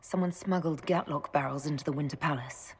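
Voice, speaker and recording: Direct young leader, lower voice, no-nonsense. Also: female voice, brisk, a little hiss or hum. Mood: steady. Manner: direct, crisp questions. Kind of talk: video game voice acting.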